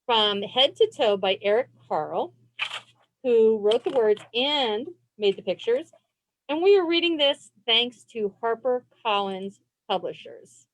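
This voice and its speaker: Lively, unhurried woman reading to children. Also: a little high, rough recording. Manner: expressive, encouraging reader. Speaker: librarian reading aloud. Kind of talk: children's picture book read-aloud. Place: indoors on a video call.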